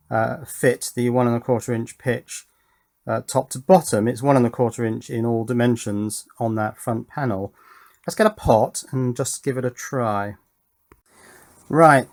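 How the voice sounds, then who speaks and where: A man giving an unscripted hands-on build commentary as an electronics hobbyist presenter, thinking aloud in an informal, explanatory way. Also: medium pitch.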